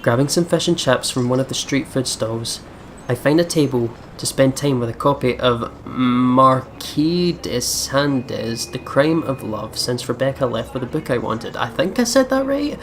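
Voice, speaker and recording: Male let's play host: mid-range voice, reads game story aloud and comments, clear background noise. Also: mid-range voice, expressive, measured pace.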